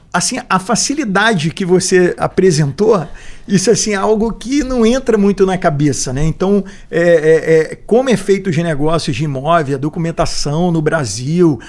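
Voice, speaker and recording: A man's voice: high-pitched, expressive, normal speed, a little mellow. Reflective Brazilian man in his forties. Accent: Brazilian Portuguese. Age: 40s. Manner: reflective, repeats words as he thinks, frequent "né?". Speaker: guest. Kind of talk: podcast interview.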